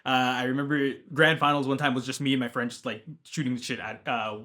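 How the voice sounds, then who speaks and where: Young man, laid-back, crisp bright tone, relaxed interview storyteller. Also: medium pitch, quick pace. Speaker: tournament organizer. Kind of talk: documentary interview.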